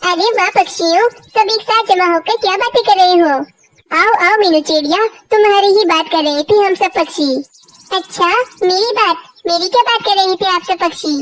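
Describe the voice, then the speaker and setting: A small cartoon forest bird for a children's moral tale. The voice is extremely high and childlike, with simple, exaggerated storybook delivery. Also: female voice, moderate pace, fairly bright tone, rough recording. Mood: chatty, teasing. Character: cartoon forest birds, scheming, envious and put-upon, squeaky and childlike.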